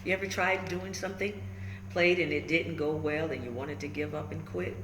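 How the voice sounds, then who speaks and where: A woman reading a children's story aloud in an expressive way, pausing to check in with her listeners. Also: deep voice. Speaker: storybook reader. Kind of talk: animated children's read-aloud with asides to listeners. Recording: roomy echo with faint background noise.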